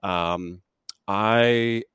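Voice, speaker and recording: Male host, very low voice, loose rambling talk, dry close sound. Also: fairly even intonation, extremely slow, fairly bright tone, clean tone, dry recording. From United States. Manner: rambling, many restarts and fillers. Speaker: podcast host. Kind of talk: casual interview chat.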